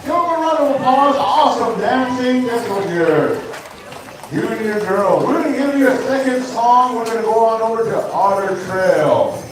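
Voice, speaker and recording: High-voiced man announcing through an echoey PA. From New Mexico. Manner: loud, drawn-out announcer calls. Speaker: powwow announcer. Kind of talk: live event announcing over a PA. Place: outdoor powwow grounds. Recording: echoey PA heard from far off, noisy.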